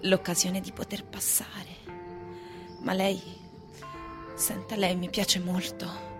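A woman's voice: medium pitch, little pitch movement, takes it slowly, lightly acted. A woman acting in a radio drama as a flirtatious, fickle wife, coy and confiding in her delivery. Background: music.

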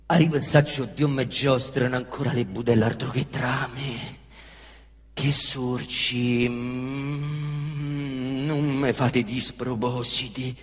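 A man acting a young Roman street hustler in a stage monologue, with a Roman accent, veering from muttering to shouting and cursing. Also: mid-range voice, steady tone, slow pace, pauses often, lightly acted. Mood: defiant, indignant. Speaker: stage actor. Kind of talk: theatrical monologue. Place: theatre stage. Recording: echoey theatre reverb.

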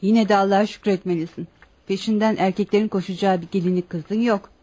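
A woman's voice: medium pitch, steady tone, fast, mellow, lightly acted. An actress in a radio play playing a friendly, gossipy widowed landlady with a chatty, complimentary manner. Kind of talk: radio theater acting.

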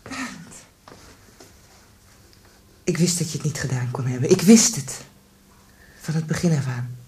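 A woman's voice: sits low, lively intonation, unhurried, dark tone, husky, exaggerated voice. An actress playing an earnest, loyal woman in a radio drama. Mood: relieved, urgent.

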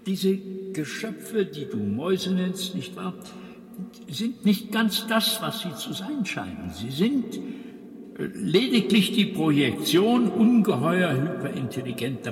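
An actor playing an ancient, eccentric alien planet designer in a German radio drama, explaining patiently with ponderous, drawn-out hesitations. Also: male voice, a little high, sing-song. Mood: patient musing. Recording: echoey hall with long reverb.

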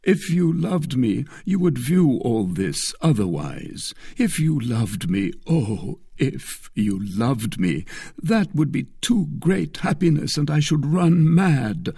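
A man's voice: on the high side, big pitch swings, takes it slowly, creaky, hardly any pauses, dark tone, husky, no room sound, clean studio sound, pitched above the performer's own voice, heavily acted. A man voicing an ardent lovestruck nobleman, declaiming passionately in a dramatic audiobook reading. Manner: impassioned, declamatory.

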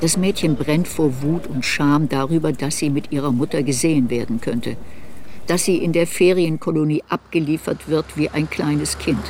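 An actor narrating a literary radio drama as a reflective narrator looking back on youth. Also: male voice, a little high, background noise, lightly acted. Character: narrator recalling a girl's youth in reflective memoir. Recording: studio radio drama with music.

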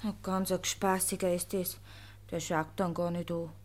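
An actress playing a village notary's vain, chatty housekeeper in a radio play, folksy and self-satisfied, with a Bavarian accent. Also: female voice, lowish voice, flat delivery, normal speed, a little mellow, lightly acted.

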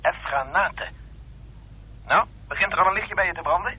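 A very husky, rough, fairly high male voice comes through tinny old radio sound, as an eager husband. He is brisk and teasing, cajoling his wife like a keen amateur sleuth. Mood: eager, coaxing. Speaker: actor in a radio play. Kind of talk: Dutch radio drama acting. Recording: tinny, band-limited old radio recording.

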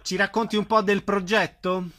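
A man's voice: high voice, little pitch movement, moderate pace, a bit bright. A man working as a radio host, introducing an interview and questioning the guest.

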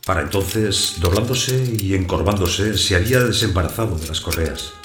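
Actor as a deep-voiced, echoey householder narrator. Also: male voice, normal speed, natural voice. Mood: brooding. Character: wary, brooding male householder narrating his own story. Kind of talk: scripted first-person audio drama narration. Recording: echoey room sound, music behind.

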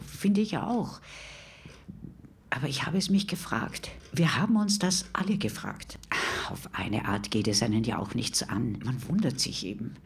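An actress playing a chatty, defensive neighbour who rambles confidingly in a radio drama interview. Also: female voice, rumbling low, slight background noise. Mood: hesitant, confiding. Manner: rambling, self-justifying, confiding. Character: chatty, defensive neighbour woman gossiping about a recluse.

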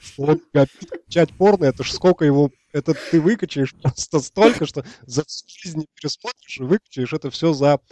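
A man's voice: fairly high pitch, a little fast, dark tone, dry recording. A man chatting casually as a podcast panelist, informal and inquisitive. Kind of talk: casual chat.

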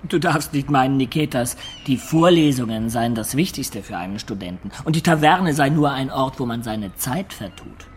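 A man's voice: medium pitch, animated, normal speed, few pauses, slight background noise, lightly acted. An actor playing a medieval adventurer who recounts his student youth with wry, ornate storytelling in a literary radio drama. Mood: earnest, explaining. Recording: studio radio drama with music beds.

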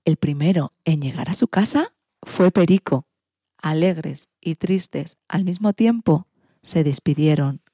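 A woman storyteller of narrates for children. Her voice is husky and dark, fairly low, recorded close and dry. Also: a little slow, dry recording. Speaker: storyteller and presenter.

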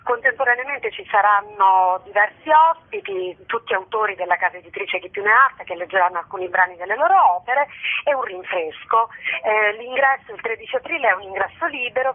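Over a narrow, tinny telephone line, a woman speaks at a medium pitch. She is a radio interview guest who explains her work in articulate, wordy sentences. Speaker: interview guest, festival curator.

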